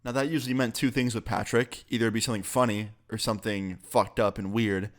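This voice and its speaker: A man's voice: on the low side, fairly even intonation, fast. A young man, a bit sick, telling a casual, profane story on his vlog. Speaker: YouTuber.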